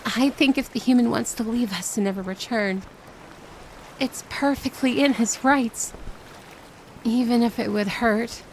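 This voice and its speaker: A woman's voice: on the high side, unhurried, long pauses, darkish tone, a little hiss or hum. A woman playing a shy, kind-hearted mermaid in a roleplay audio drama, with a flustered, hesitant, stammering manner. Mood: earnest, sad.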